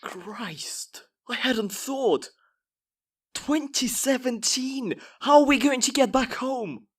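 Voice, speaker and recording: An actress playing an anxious, emotional stranded time-traveller with a low, husky voice in audio drama dialogue. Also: female voice, slow pace, full character voice. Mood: panicked.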